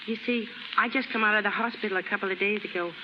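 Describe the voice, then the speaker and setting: A woman's voice: medium pitch, fairly even intonation, fast, natural voice. Actress as a scrappy New street girl, old radio. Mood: plaintive, frank. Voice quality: uneducated street speech. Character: scrappy young penniless New York dance-hall girl. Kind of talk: 1930s radio acting. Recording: noisy muffled 1930s radio recording.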